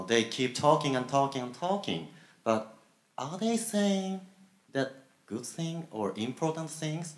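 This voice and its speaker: A young man with a Japanese accent on a graduate student panel, speaking haltingly with many fillers and pauses. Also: mid-range voice. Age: young adult. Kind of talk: panel talk. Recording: echoey room.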